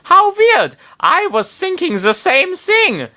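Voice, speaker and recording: A man voicing an eerily cheerful young cartoon climber in a squeaky, overexcited voice. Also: level tone, moderate pace, gravelly, sharp tone, exaggerated voice. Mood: eerie glee.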